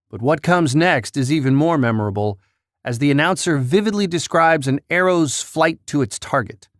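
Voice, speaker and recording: A man's voice: mid-range voice, dry recording, studio quality. A man reading scripted narration as the host of a countdown list.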